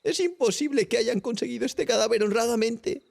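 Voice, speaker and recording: Man putting on a high, creaky anxious young student. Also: fairly quick, darkish tone, exaggerated voice. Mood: alarmed insistence. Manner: agitated, protesting. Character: anxious, horrified young male medical student. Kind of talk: audiobook character acting.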